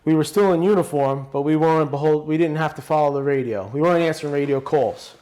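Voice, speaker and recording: A man's voice: on the high side, quick pace, darkish tone. A man, a guest on a podcast telling stories in a blunt, loose way with many restarts. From Brooklyn, New York. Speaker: podcast guest, retired police officer. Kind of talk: casual interview conversation.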